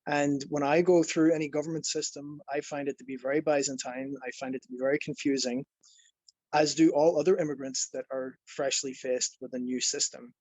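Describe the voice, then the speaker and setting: A man's voice: fairly high pitch, level tone, fairly quick, a little mellow, no room sound, low-quality recording. A man from Ireland, presenting as a nonprofit program director in a webinar, earnest and explanatory, with frequent fillers and restarts.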